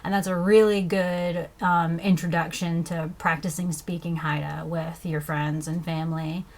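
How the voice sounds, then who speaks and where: A woman from the States, teaching basic phrases as a beginning learner, pronouncing words slowly and deliberately. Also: fairly low pitch, flat delivery. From southern United States. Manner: careful, deliberate pronunciation with fillers. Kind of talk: slow instructional explanation.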